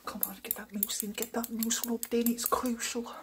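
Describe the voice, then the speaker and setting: A man's voice: very high voice, level tone, normal speed, slight background noise. A young man playing a flustered hairdresser who mutters repetitively and frantically in an ASMR roleplay. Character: flustered male hairdresser racing for a speed record.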